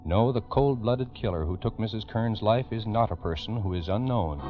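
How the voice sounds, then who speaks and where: A man's voice: lowish voice, normal speed, muffled recording, over loud music. A man narrating a 1940s radio crime program in a grave, emphatic, urgent manner on an old recording. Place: radio studio.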